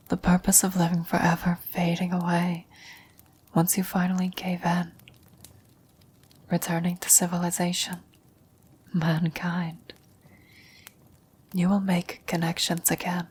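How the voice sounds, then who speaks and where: A woman voicing a vampire's dying former lover in an ASMR roleplay, a wistful, knowing woman who whispers in a breathy, solemn, deliberate way. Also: on the low side, darkish tone, heavily acted. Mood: knowing, melancholy.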